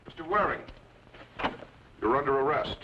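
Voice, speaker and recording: A man's voice: mid-range voice, expressive, moderate pace, natural voice. Formal, menacing lawman, played by a man, muffled. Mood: coolly threatening. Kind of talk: old-time radio western drama acting. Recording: muffled vintage radio recording, faint noise.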